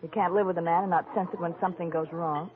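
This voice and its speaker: A woman's voice: on the low side, steady tone, fast, a crowd in the background, lightly acted. Anxious coaxing wife on tinny old radio woman acting. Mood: anxious, confiding. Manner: earnest, pleading, coy. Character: anxious, coaxing detective's wife, a former actress. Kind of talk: 1940s radio drama acting.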